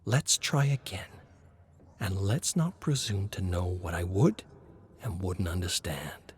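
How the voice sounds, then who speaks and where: A man voicing a cold, menacing agent in a story, interrogating with controlled, deliberate threats. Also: sits low, expressive, voice pushed down. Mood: quiet menace.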